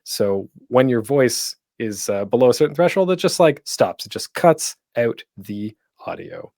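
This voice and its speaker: A man's voice: mid-range voice, wildly swooping pitch, unhurried, vocal fry, darkish tone, no room sound, studio quality. A man presenting a tutorial in a casual, chatty way with light humor. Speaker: panel host giving a podcasting tutorial.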